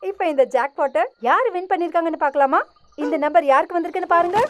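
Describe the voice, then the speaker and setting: A woman's voice: very high voice, fast, a bit bright, faint music behind. A woman voicing a perky female lottery announcer in a cartoon dub, with showy, bouncy delivery.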